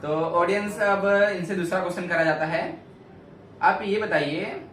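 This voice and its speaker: A man asks casual interview questions and affirms each answer, turning often to the audience. His voice is a touch high. He sounds distant in an echoey room, with noise underneath. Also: steady tone, a little slow, fairly bright tone, faint music behind. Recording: echoey room, far from mic, noisy.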